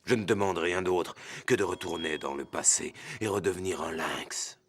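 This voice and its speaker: A man's voice: deep voice, moderate pace, slight background noise. A man voicing a proud, earnest lynx warrior in a cartoon, speaking in a grave, formal and pleading way. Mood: solemn, pleading.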